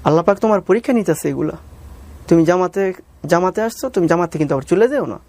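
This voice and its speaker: A man's voice: high-pitched, expressive, fast, darkish tone, no echo. A man with a Bangladeshi accent telling his own story as an interview guest, deferential and re-telling conversations as he goes. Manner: deferential, calls the interviewer sir. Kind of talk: personal storytelling interview with reenacted dialogue.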